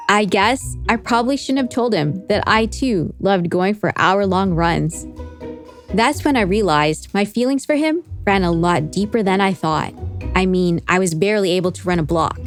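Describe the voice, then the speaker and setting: A woman's voice: medium pitch, animated, normal speed. A woman host telling a scripted story as narrator, with wry, chatty asides. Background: music behind the voice.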